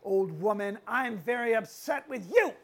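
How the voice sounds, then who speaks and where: A woman storyteller playing a proud male chief who speaks loudly in a scolding, demanding way. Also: on the high side, a little slow, natural voice. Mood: indignant. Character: proud, loud great chief, a man, indignant and easily upset. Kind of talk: live oral storytelling.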